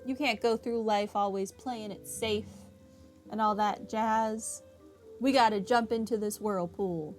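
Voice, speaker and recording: A woman's voice: medium pitch, steady tone, a little slow, faint music behind. A woman giving casual, slangy, joking commentary as she plays a video game. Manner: jokey, slangy. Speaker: gaming streamer.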